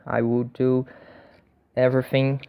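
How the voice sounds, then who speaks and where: A young man talks slowly and loosely in English, stumbling over restarts and doubled words. His voice sits at a middling pitch, and the recording sounds dull and lo-fi. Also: little pitch movement, gravelly, clear, resonant tone. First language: Portuguese. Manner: hesitant, many restarts and repeated words. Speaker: language learner sharing tips. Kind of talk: casual talk to camera.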